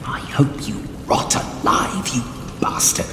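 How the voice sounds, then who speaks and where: A man's voice: slow, lightly acted. Hostile male captive actor, accusing, fantasy game, echoey space with noticeable noise. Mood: bitter. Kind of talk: fantasy game dialogue acting. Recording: echoey, clear background noise.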